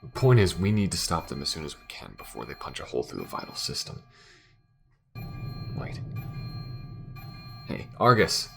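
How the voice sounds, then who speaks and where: An extremely low, lively-pitched male actor's take on an alien smuggler from a sci-fi audio drama. He is earnest and loyal, talking in halting, confiding phrases straight to the listener. Music plays clearly behind him. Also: full character voice. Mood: shaken, determined. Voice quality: very deep, gravelly. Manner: halting and confiding, with frequent pauses. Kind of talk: intimate audio roleplay acting addressed to the listener.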